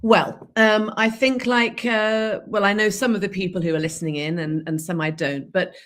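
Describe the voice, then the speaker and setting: A woman with an English accent speaks as a podcast guest over a video call. Her voice sits in a middle range, and her talk is lively and easy, with ready laughter. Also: mid-range voice, moderate pace. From England. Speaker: guest, leadership coach. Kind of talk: conversational interview.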